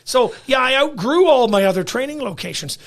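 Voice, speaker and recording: A man's voice: very high voice, fairly quick. A middle-aged man, a dog trainer who tells stories animatedly and swears freely in casual chat. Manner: animated storyteller, swears often, slips into put-on voices.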